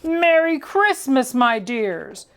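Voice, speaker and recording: Storybook Santa, acted by a woman: old, jolly, fondly bossy, hearty calls pitched up from plain narration. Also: very animated, takes it slowly, a bit bright, heavily acted. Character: jolly old male Santa, gently scolding and fond.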